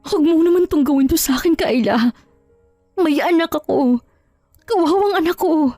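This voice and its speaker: A woman storyteller plays a desperate mistress in an audio drama. Her voice is shrill and raised well up, indignant and pleading. It is recorded dry over music. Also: expressive, moderate pace, dark tone, no room sound. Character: desperate mistress, angry, pleading young mother.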